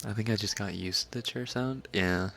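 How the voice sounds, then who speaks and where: A man chatting casually and softly on a late-night ASMR live stream, laid-back and swearing now and then. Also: fairly low pitch, creaky.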